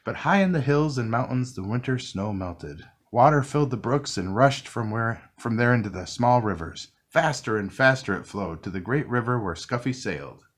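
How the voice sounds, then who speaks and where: A man reading a children's picture book aloud, narrating gently and expressively. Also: mid-range voice, animated, moderate pace, few pauses. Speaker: storybook reader. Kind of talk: children's read-along narration.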